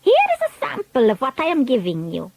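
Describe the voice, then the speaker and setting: A woman's voice: high voice, wildly swooping pitch, a little fast, vocal fry, a little mellow, no echo, exaggerated voice, low-quality recording. A woman voicing an imperious, menacing old female witch queen who barks clipped orders in an audiobook. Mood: gloating. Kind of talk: audiobook character voice.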